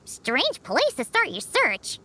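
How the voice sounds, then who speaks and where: A woman's voice: high-pitched, wildly swooping pitch, slow pace, background noise, full character voice. A woman voicing a tiny floating female companion in a video game, brash and chirpy, referring to herself by name. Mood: cheeky. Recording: game audio with background music.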